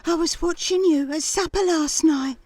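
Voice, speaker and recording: An actress playing a manipulative mistress who coos with gushing, drawn-out sympathy in a comedy audio drama. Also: female voice, very high voice, darkish tone, dry recording. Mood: cooing, persuasive.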